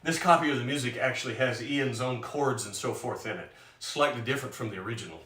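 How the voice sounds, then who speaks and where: A man with a loud speaking voice, hosting a live stream and chatting casually and self-mockingly between songs. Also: medium pitch, quick pace, a little hiss or hum. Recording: roomy sound from a distant mic.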